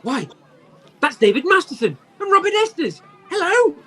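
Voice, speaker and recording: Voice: very high-pitched, sing-song, moderate pace, a bit bright, full character voice. An actor playing a grumpy, flustered old male miser in a radio play, blustering and stammering. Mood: moved.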